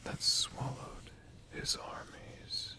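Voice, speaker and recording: A storyteller whispering a tale for ASMR in a breathy, hushed voice, with dramatic phrasing and long pauses. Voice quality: breathy, mostly unvoiced whisper.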